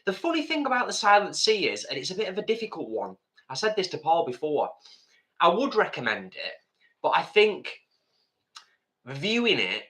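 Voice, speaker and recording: Chatty middle-aged guy, very bright tone, bouncy voice. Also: high voice, moderate pace. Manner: lively, chatty, reads viewer comments. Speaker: co-host. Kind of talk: casual live stream chat.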